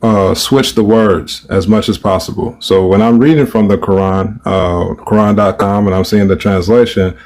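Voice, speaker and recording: Cautious low-voiced man in debate. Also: steady tone, normal speed, hardly any pauses, a little mellow. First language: English. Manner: cautious, circling, repeats phrases. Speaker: debate participant. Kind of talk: online debate.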